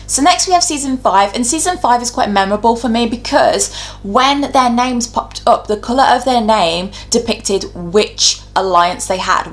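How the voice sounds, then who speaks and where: A young adult woman giving casual, opinionated video commentary, talking chattily and explaining her reasoning point by point. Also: medium pitch. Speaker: YouTube host giving ranked commentary.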